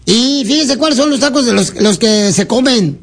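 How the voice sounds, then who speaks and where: A man's voice: extremely high pitch, expressive, moderate pace, full character voice. A man voicing a lonely, silly male caller who rambles, teases and flirts on a radio prank call. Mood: teasing. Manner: rambling, teasing, crude jokes.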